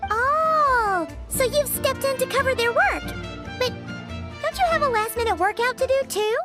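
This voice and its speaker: A woman voicing a small, excitable, chatty fairy companion in a video game, with a squeaky childlike voice. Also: normal speed, loud music behind the voice. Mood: cheerful. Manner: exclaiming, speaks of herself in third person. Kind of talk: animated video game voice acting.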